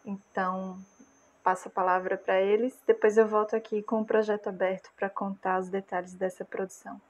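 A Brazilian woman in her thirties with a Brazilian Portuguese accent, a music producer calmly explaining how she built a track, recorded on an earphone mic in a small room. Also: mid-range voice. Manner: calm, measured, unhurried. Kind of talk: casual explainer of a music project.

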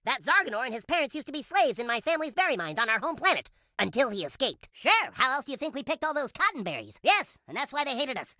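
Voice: high voice, big pitch swings, fast talker, hardly any pauses, pushed and bright, no echo, studio quality, heavily acted. An actor voicing an earnest, boastful alien boy superhero in a cartoon video game, speaking in grandiose, theatrical declarations. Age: child character. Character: earnest, boastful boy superhero from another planet, cereal-obsessed.

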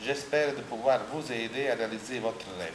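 A man's voice: medium pitch, fairly even intonation, brisk. A middle-aged man, a visiting architect, speaking earnestly and formally in a casual conversation outdoors. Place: tiled outdoor courtyard. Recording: echoey courtyard with clear hiss.

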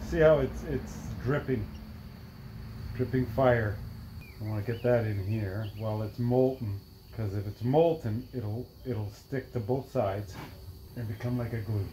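Middle-aged male DIY maker, mid-pitched, casual and halting delivery while working, light workshop noise on a camera mic. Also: darkish tone, poor audio. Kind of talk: casual hands-on workshop demonstration. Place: cluttered workshop with dirt floor.

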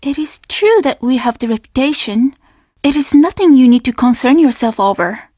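Guarded young innkeeper girl in a video game, high voice, formal n curt, super dry sound. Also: animated, warm tone, no room sound. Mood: polite, businesslike. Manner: measured, formal, easily offended. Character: guarded, stern young Japanese innkeeper's daughter, polite but curt. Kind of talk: video game character dialogue.

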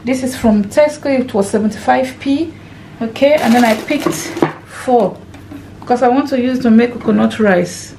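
A fairly high-voiced woman chats casually to her viewers while she walks around a table of groceries in her kitchen. She counts items aloud and keeps calling her audience "guys". A faint hum of room noise sits behind her. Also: normal speed, gravelly. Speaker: lifestyle vlogger and mum. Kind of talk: casual grocery haul narration. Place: home kitchen, indoors.

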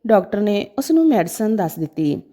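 A woman's voice: medium pitch, sing-song, moderate pace, dark tone. A woman narrating a scripted, sentimental story in a dramatic storytelling style.

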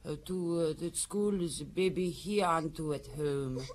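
A woman's voice: low-pitched, a little slow, darkish tone. A woman playing a harried, blunt Polish village mother in a radio drama, hesitant in her answers. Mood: evasive, blunt.

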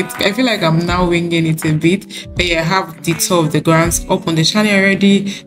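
A young woman with a Nigerian accent talks to her camera in a chatty, gushing travel vlog. Her voice sits a little low for a woman. Clear music plays under her. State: tired after a long trip. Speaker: travel vlogger. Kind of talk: casual vlog talk to camera.

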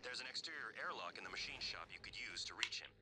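A calm, businesslike guide from a sci-fi video game, played by a man. He talks briskly and matter-of-factly. Loud game ambience and noise surround the voice. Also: lowish voice, natural voice. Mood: calm, instructive. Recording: game audio under heavy noise.

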